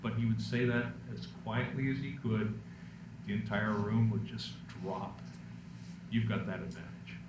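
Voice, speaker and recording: Middle-aged man, deep voice, relaxed workshop presenter with dry jokes; distant mic, noisy room. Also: a little slow. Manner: relaxed storytelling with self-deprecating jokes. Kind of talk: public speaking workshop Q&A. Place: lecture room. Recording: echoey room, distant mic, background noise.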